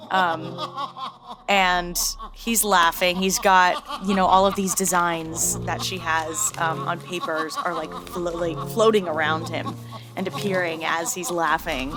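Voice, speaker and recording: A woman's voice: on the low side, fairly even intonation, measured pace, over music. A young woman in a casual tabletop roleplay, chatty and giggly and full of fillers. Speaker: player.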